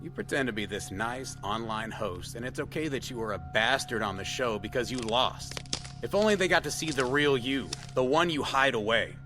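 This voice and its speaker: A man's voice: medium pitch, animated, normal speed, music in the background, close to an everyday voice. A man acting in an audio drama as an accusing, insistent figure from a dream, probing and confrontational.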